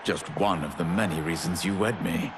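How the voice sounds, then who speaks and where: An actor playing a noble, resolute emperor hero who speaks formally and earnestly in a video game. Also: male voice, low voice, normal speed, noisy background, close to an everyday voice. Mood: composed. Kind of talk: video game cutscene acting.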